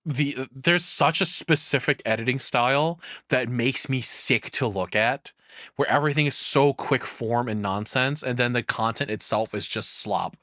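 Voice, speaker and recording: A man's voice: medium pitch, moderate pace, sharp tone, no room sound, clean studio sound. A man giving casual reaction commentary, blunt and jaded, with some swearing. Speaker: reaction streamer.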